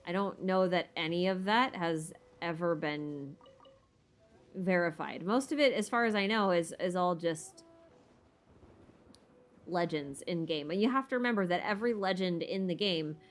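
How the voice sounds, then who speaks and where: A woman's voice: fairly low pitch, moderate pace. A woman hosting a livestream, answering viewer questions in a casual, opinionated way as she thinks aloud, with informal, emphatic phrasing. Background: background music.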